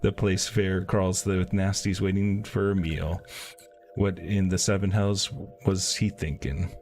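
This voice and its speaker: A man's voice: deep voice, level tone, measured pace, a little mellow. A man reading game dialogue aloud on a livestream, sometimes stumbling and going back over phrases.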